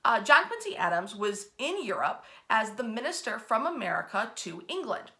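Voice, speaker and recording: A woman hosting as a storyteller, telling history in an animated, informal way with dramatic emphasis and wry, colloquial asides. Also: on the high side, normal speed. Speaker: host and storyteller of a history series.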